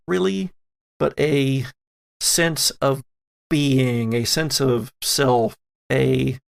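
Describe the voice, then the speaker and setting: Male game master for horror tabletop play: slow, creaky, suspenseful narration with deliberate dramatic pauses. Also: mid-range voice.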